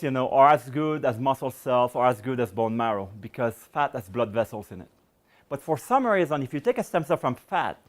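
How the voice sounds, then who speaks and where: A middle-aged man speaks to a lecture audience with a slightly raised pitch. He rambles, restarts his sentences and leans on fillers. His voice is wearing thin and turning hoarse. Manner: rambling, many "you know" fillers and restarts. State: voice going hoarse. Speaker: research scientist giving a public lecture. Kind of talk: public lecture with audience questions.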